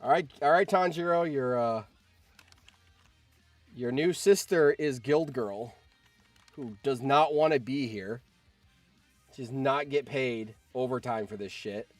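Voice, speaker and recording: A man's voice: mid-range voice, slow, pauses often, no room sound, faint music behind. A man hosting a livestream, chatting casually with viewers and thinking aloud, often repeating his phrases. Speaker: livestream host.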